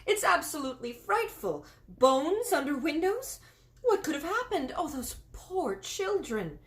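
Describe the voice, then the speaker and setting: A dignified, self-controlled queen with a refined, exclaiming voice that sweeps up and down, as a woman reading a storybook puts her on. Even when shaken, she keeps her composure. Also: high voice, a little slow, voice pushed up, heavily acted. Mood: shocked. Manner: refined, exclaiming, later hushed.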